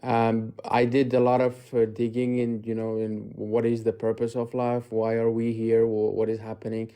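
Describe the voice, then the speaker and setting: A young man in his twenties, from Afghanistan, speaks as a guest on a casual livestream. He talks at an ordinary pitch in halting, thoughtful bursts, full of fillers and restarts. His camera is off and only his voice comes through. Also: completely flat delivery, mellow, clear, resonant tone. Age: 20s. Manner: hesitant, many fillers and restarts. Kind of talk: casual chat. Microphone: audio only, camera off.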